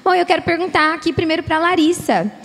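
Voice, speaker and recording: Lady running a church school panel, high voice, teacher-ish explaining, big echoey hall. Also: fast, fairly bright tone. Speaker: panel moderator for a missionary school. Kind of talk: explaining to an audience.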